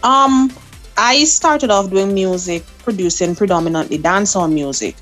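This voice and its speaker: A woman's voice: medium pitch, normal speed, soft background music. Vincentian lady, young, chatty storyteller who laughs a lot, soca singer being interviewed. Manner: lively storyteller, laughs often. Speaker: soca artist guest.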